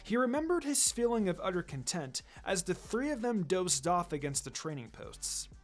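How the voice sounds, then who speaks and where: Male story narrator, fairly high voice, lively intonation, music bed. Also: normal speed. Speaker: fan fiction reader. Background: background music.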